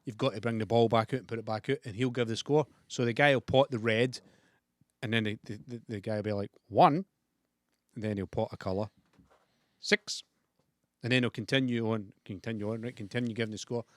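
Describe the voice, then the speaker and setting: A man's voice: medium pitch, fairly even intonation, a little fast, dry recording, clean studio sound. A man with a Scottish accent, a podcast guest telling a story in casual chat and laughing often. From Scotland.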